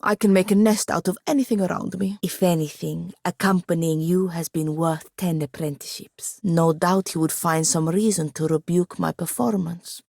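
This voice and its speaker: A woman's voice: on the low side, steady tone, normal speed, creaky, few pauses, a little mellow, no room sound. A woman playing a proud, sardonic young woman wizard in a video game, speaking formally with dry wit. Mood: wry, brisk. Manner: dry, wry, formal phrasing. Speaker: game companion character. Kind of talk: scripted video game dialogue.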